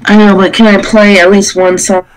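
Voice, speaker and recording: A woman's voice: medium pitch, flat delivery, moderate pace, poor audio. Combative swearing woman on a livestream. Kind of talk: casual live stream talk. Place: indoor living room.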